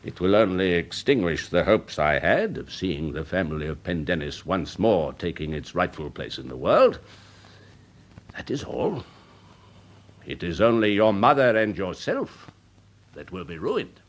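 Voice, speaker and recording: An actor playing a worldly older English gentleman, a pompous and smoothly persuasive uncle in a period radio drama. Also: deep voice, sing-song, unhurried. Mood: wounded, lofty. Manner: pompous, urbane, rhetorical, insistent.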